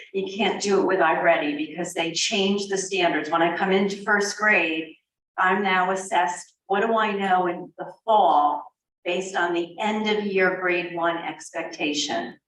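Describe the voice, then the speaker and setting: A woman's voice: lowish voice, steady tone, unhurried, a bit bright. A woman school administrator patiently explaining how students are assessed at a public meeting. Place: large meeting room. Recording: echoey, distant.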